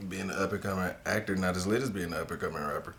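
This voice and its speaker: A man's voice: deep voice, steady tone, racing, fairly bright tone. A young man hosting a casual interview, talking in a loose, slangy, profane way. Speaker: host.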